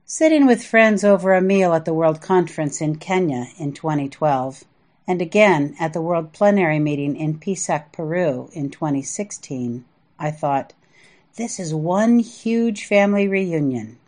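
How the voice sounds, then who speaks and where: American woman, lowish voice, reading a reflective essay, earnest and measured. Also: animated, a little mellow. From US Midwest (Indiana and Iowa). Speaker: author reading own essay. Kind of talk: reflective scripted narration.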